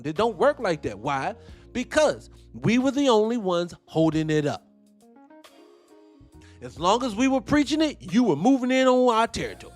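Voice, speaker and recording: Fired-up man ranting, swearing freely. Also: very high-pitched. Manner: profane, animated, ranting with tangents. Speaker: host. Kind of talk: heated casual commentary.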